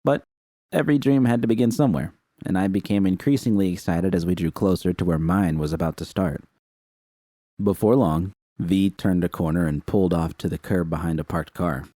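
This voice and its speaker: A man narrating a first-person fan story in a steady, unhurried reading. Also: low voice, dry recording, clean studio sound. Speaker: fan fiction reader.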